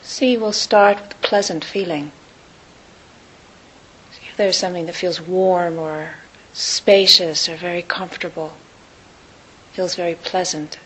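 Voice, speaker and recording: A woman teaching meditation, guiding listeners in short, unhurried phrases with long pauses between them. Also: lowish voice, steady tone, a bit bright, breathy edge, low-quality recording. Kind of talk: guided meditation.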